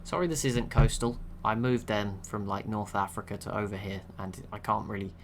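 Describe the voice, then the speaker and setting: A young man giving relaxed, chatty commentary over a strategy game he is watching, laughing to himself now and then. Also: lowish voice, normal speed, a little hiss or hum. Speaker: gaming channel host.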